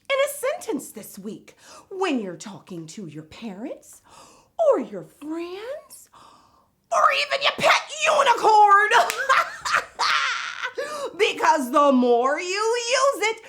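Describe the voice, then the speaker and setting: A woman playing a bubbly, excitable vocabulary teacher for a children's show, with exaggerated gasps and giggles. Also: very high voice, slow, pitched above the performer's own voice, heavily acted. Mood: excited.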